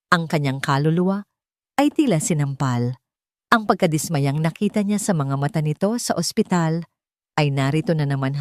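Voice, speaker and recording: A woman's voice: on the low side, dry recording, studio quality. A woman with a Filipino accent reading a scripted dramatic story as narrator.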